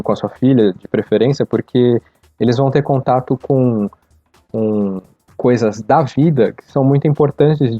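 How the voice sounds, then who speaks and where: A man's voice: medium pitch, no echo. A man with a Brazilian accent speaking casually and earnestly on a podcast, in a reflective way. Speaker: podcast participant. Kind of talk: casual spoken recommendation. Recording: slightly dull, lo-fi microphone sound.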